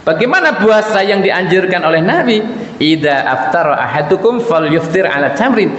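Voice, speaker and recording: A man's voice: high voice, big pitch swings, normal speed, a bit bright. A man preaching informally to a congregation in a conversational, joking way, putting questions to his listeners. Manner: recites short Arabic quotations. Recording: muffled, noisy, echoey hall sound.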